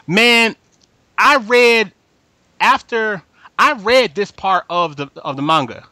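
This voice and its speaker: A man's voice: high-pitched, lively intonation, slow, pushed and bright, dry recording. A man hosting a casual anime fan discussion, speaking in slang and repeating words and phrases for emphasis. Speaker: podcast host moderating a group discussion.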